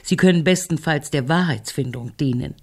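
An actress playing a shrewd, imperious, wealthy crime-writing aunt in a German radio drama, condescending and deliberate. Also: female voice, low-pitched, husky. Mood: dismissive.

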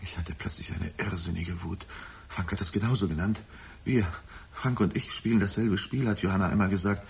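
A man's voice: low voice, fairly even intonation, fairly quick, gravelly, a little mellow, a little hiss or hum. An actor playing a bitter, volatile older father and jealous lover in a German radio drama, brooding and accusing. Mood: shaken, desperate. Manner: brooding, accusing, prone to agitated outbursts.

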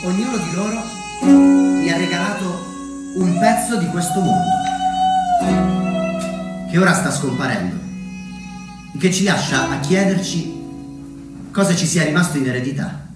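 A man from Umbria in Italy performing a reflective theatrical monologue as a solo storyteller, measured and pausing for weight. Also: high voice. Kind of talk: theatrical monologue, narrated memoir. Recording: very echoey, distant mic, music underneath.

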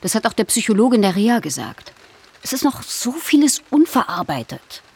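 A woman playing a troubled, self-reliant middle-aged actress in a radio drama, expressive and naturalistic with halting delivery. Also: on the high side, husky, exaggerated voice. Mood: subdued, determined. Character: troubled actress Ellie, haunted by family loss, stubbornly self-reliant. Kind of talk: German radio play acting.

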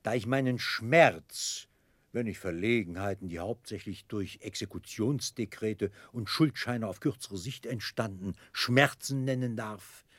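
Pompous, husky florid gentleman debtor. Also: lowish voice, measured pace, dry recording. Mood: grandiose. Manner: grandiloquent, ornate phrases broken by hesitations. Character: pompous, florid, genteel middle-aged debtor gentleman, grandly self-important. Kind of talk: German radio play acting.

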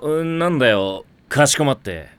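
A man's voice: mid-range voice, lively intonation, normal speed, clean tone, dry recording, lightly acted. An actor playing a level-headed teenage boy in an anime audio drama, dry and matter-of-fact. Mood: dry, calm.

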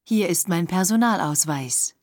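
A woman reading phrases for a language course, slowly and carefully, recorded close to the mic. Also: fairly low pitch, expressive, a little mellow, no room sound. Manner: slow, careful, clearly articulated phrases.

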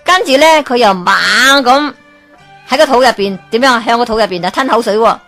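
A woman with a Hong Kong Cantonese accent narrating a folk tale as a radio storyteller, lively and expressive. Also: on the high side, a little fast, faint music behind. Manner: lively, expressive, chuckling asides.

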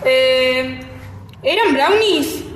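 A woman's voice: sits high, animated, drawn-out words, faint music behind, full character voice. A young woman voicing a cheeky, evasive teenage son in an amateur radio play, with a Buenos Aires accent. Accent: Argentine, Buenos Aires. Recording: echoey room, long reverb, running water noise.

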